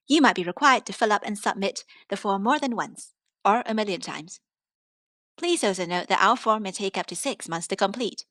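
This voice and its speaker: A woman's voice: mid-range voice, fairly bright tone, no echo, clean studio sound. A woman giving a scripted reading of literary prose for a podcast. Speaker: reader of poetry and prose on a podcast.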